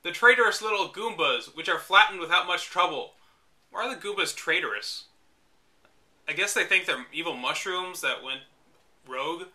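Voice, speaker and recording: A man's voice: high voice, normal speed, bright, forceful tone. A man reading a game guide aloud and breaking off for wry, exasperated asides. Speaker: reader and commentator. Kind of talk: reading a book aloud with sarcastic commentary.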